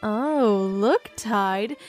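A woman's voice: mid-range voice, extremely slow, darkish tone, soft background music. A woman voicing a cheerful female companion who explains things in a teasing, upbeat way.

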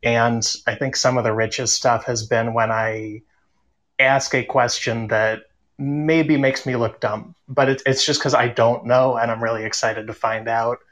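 Male podcaster, conversational and earnest, mid-pitched voice, panel talk. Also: fairly even intonation, a little slow, a bit bright. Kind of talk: casual panel discussion.

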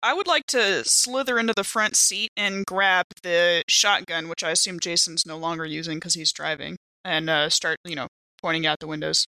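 A woman's voice: on the low side, steady tone, normal speed, sharp tone, dry recording, poor audio. A woman chatting casually and playfully as a player at a tabletop game.

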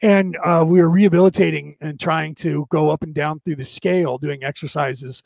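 A man hosting a casual livestream, rambling through spiritual musings with many restarts, his slightly raspy voice tiring. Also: fairly high pitch, steady tone, moderate pace, darkish tone, no echo. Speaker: podcast host. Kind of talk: casual livestream monologue answering viewer comments.

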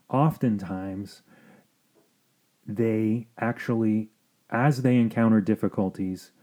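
A man hosting a podcast as a marriage counselor, explaining things in an earnest, measured monologue. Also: lowish voice, dark tone.

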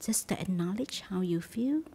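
Breathy, near-whispering woman guiding meditation. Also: medium pitch, expressive. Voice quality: soft, breathy, husky, often near whisper. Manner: gentle, soothing, unhurried instruction with long pauses. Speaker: meditation teacher. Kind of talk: guided meditation.

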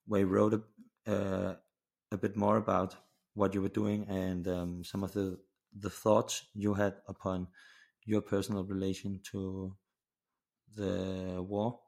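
A young man with a Danish accent hosts a casual conversation. His voice is very deep with plenty of vocal fry, and he feels his way through sentences haltingly. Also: a little mellow. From Denmark. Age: 20s. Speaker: podcast host.

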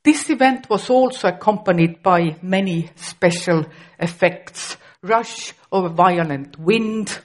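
A woman's voice: on the low side, takes it slowly. A middle-aged woman from Estonia, a native Estonian speaker with an Estonian accent, preaching a sermon carefully and with occasional restarts.

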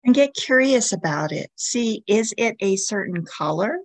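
A woman leading a guided meditation as a podcast host, giving soothing, gentle instructions with long pauses. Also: medium pitch, animated, no echo.

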